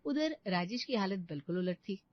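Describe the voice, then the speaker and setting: A woman's voice: mid-range voice, expressive, moderate pace, no room sound, dull audio. A woman reading a scripted storybook narration as the storyteller.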